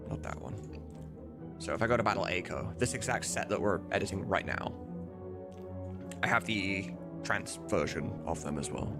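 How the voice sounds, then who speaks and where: A man's voice: sits low, vocal fry. A man hosting a casual livestream as a mod developer, rambling, blunt and sweary as he thinks aloud. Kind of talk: casual livestream chat while demonstrating.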